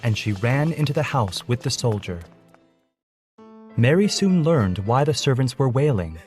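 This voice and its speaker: A man's voice: medium pitch, big pitch swings, unhurried, a little mellow. A man narrates a scripted story for children. His voice sits in a middle range, and faint music plays beneath the reading.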